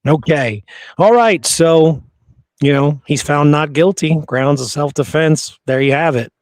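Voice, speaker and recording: A man's voice: on the high side, measured pace, darkish tone, dry recording. A man hosting a podcast, talking through history in an informal, conversational way. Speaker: podcast host. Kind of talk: casual history discussion.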